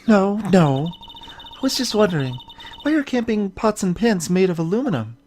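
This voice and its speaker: A woman's voice: medium pitch, sing-song, normal speed, darkish tone, slight background noise, lightly acted. A woman voicing a cheerful, naive young female assistant in video game dialogue, with a playful, eager manner. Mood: curious, cheerful.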